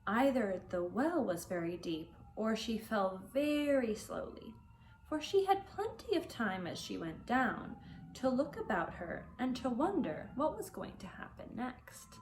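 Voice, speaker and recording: Animated, unhurried woman reading a storybook. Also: a little high, slight background noise. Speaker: English teacher reading a book aloud. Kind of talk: expressive storybook narration.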